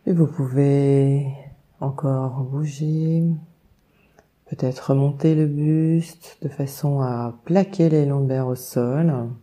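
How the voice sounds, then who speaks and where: A woman leading a guided meditation, giving calm, soothing instructions with long unhurried pauses. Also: extremely low pitch. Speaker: yoga nidra meditation guide.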